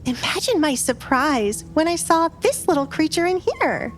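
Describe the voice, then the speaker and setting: A woman's voice: high voice, big pitch swings, normal speed, slight background noise, pitched above the performer's own voice. A woman voicing a spoiled, spiteful young woman with a haughty, whining manner in an audio drama. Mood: smug, taunting.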